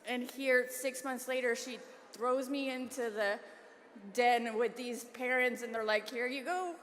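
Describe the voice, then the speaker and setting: A woman's voice: a little high, a little slow, fairly bright tone, a little hiss or hum. A woman giving a casual, self-deprecating acceptance speech for an award. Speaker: award recipient, school community liaison. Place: large banquet hall. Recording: hall reverb from a PA system.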